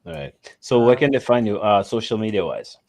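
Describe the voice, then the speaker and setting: Lively-pitched male podcast host. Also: gravelly, no echo. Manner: relaxed, quick agreeing interjections. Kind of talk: casual chat.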